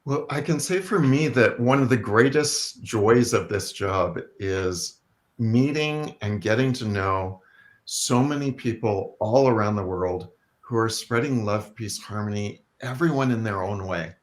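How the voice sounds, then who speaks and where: Slow, low-voiced man co-host. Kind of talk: casual spoken remarks.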